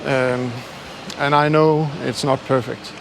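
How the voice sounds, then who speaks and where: Slow-talking man with non-native English, noisy outdoors. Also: mid-range voice, fairly even intonation, gravelly. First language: not English. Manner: halting, self-deprecating. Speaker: travel YouTuber. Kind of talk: casual vlog talk to camera. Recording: noisy outdoor street ambience with traffic.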